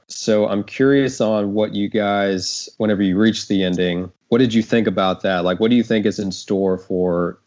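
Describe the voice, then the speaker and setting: A man's voice: sits low, darkish tone. A man talking casually as a panel host, explaining things in a rambling way peppered with filler words. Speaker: show writer and panel host. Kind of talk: casual discussion, explaining script changes. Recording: dull lo-fi audio.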